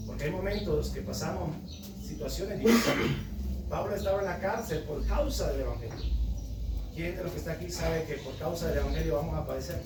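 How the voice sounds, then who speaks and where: A man's voice: on the high side, a little fast. A man in his thirties from Honduras preaching a fervent evangelical sermon, often calling out to his listeners. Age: 30s. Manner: fervent, calls out to the congregation with repeated asides. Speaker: lay preacher. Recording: roomy, distant and noisy recording.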